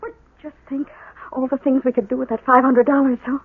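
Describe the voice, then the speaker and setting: Seeking an excitable small-town housewife, very high voice, fluttery and polite, vintage radio drama. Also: female voice, brisk, a little hiss or hum. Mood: eager, flustered. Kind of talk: 1980 radio drama acting. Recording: dull vintage radio broadcast.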